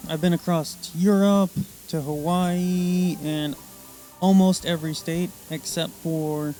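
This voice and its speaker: An American man from the Missouri, Oklahoma and Arkansas region talking casually and unscripted about himself, rambling haltingly in short fragments. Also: fairly high pitch, warm tone. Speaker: presenter introducing himself.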